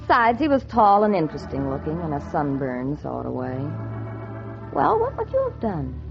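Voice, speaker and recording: Low-voiced wisecracking noir dame. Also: female voice, huge pitch swings, moderate pace, gravelly, full character voice. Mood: wry, sassy. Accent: American. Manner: slangy, wry, knowing. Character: wisecracking young dance-hall woman posing as an heiress. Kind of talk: 1940s radio acting, noir first-person narration. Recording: muffled 1940s radio recording with loud music.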